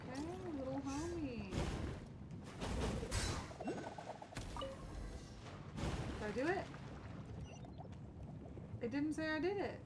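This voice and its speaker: A woman's voice: high voice, expressive, slow, pauses often, heavy background noise. A woman doing casual live game commentary, muttering to herself and chatting to viewers with mild swearing. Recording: loud game music behind. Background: video game music.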